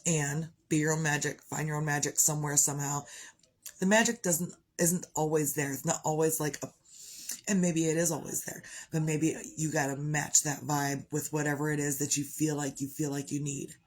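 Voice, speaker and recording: A woman with a congested voice who keeps clearing her throat, talking on a live video in a chatty, upbeat, rambling way about gratitude and her morning. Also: deep voice, little pitch movement, moderate pace. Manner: chatty, upbeat, wanders off topic. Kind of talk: rambling motivational chat.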